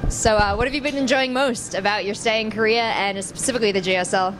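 A woman's voice: mid-range voice, steady tone, quick pace, music in the background, rough recording. A young adult woman hosting a casual roundtable interview in a small restaurant, speaking into a handheld mic. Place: small pizza restaurant at night.

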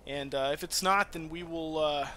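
A man's voice: a little high, steady tone, normal speed, sharp tone, slight background noise. A man hosting a gaming channel, giving casual, rambling let's-play commentary with informal, sweary talk. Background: game music.

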